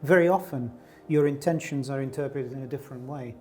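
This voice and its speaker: Middle-aged male artist guest, from Greece, musing and unhurried, faint music bed. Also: medium pitch, a little mellow. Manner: thoughtful, searching, with many fillers and restarts. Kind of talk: reflective interview answers.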